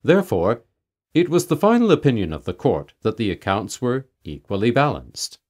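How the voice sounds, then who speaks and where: A man reading a literary tale aloud as a narrator, in a measured, formal storytelling manner. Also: mid-range voice, very animated, darkish tone. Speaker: audiobook narrator.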